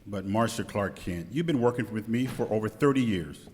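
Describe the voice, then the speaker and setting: A man's voice: lowish voice, animated, moderate pace, a little mellow, natural voice. A man playing a fond, smitten boss in an amateur live radio skit. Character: smitten male boss, fond and long-suffering. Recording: echoey hall with background noise.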